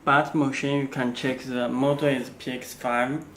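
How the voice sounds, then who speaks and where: A man who is not a native English speaker, giving an unscripted product walkthrough in halting, simple phrases with frequent pauses. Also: medium pitch, little pitch movement, a bit bright. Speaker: product demonstrator. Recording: faint background noise.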